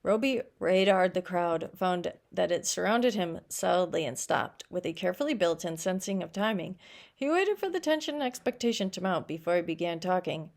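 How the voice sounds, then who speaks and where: A woman with a creaky, gravelly edge to her voice reads fiction aloud in the way of a teacher. She corrects her own slips and steps out of the narration to explain. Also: fairly low pitch, animated, moderate pace. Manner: stumbles over words and corrects herself. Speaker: teacher reading a short story aloud.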